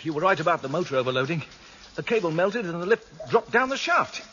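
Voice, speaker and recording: An actor playing a tense, sardonic boss making a dangerous climb and reporting back in halting, urgent speech, in a radio drama. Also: male voice, a little high, very animated, a bit bright. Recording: dull, noisy radio drama recording.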